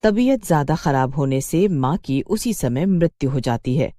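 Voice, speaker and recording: Low, mellow, animated woman storyteller. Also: moderate pace, dry recording, studio quality. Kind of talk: dramatized scripted story narration.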